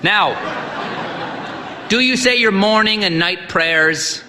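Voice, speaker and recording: A man doing a booming old priest, hearty and blunt. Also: takes it slowly, background noise. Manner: loud, booming and commanding. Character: massive hearty old male priest, half deaf, blunt. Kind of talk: audiobook reading of a short story.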